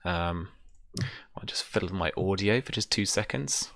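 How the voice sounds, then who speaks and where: Low, bright-voiced British man chatting. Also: a little slow, gravelly. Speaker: game master and streamer. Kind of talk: casual livestream chat explaining a tabletop game. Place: small room at home, on a video call.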